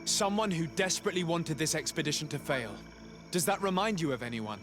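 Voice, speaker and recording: An actor playing a courteous, composed envoy investigating in a video game, speaking formally and deliberately. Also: male voice, fairly high pitch, animated, music in the background, close to an everyday voice. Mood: coolly accusing. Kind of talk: measured game dialogue.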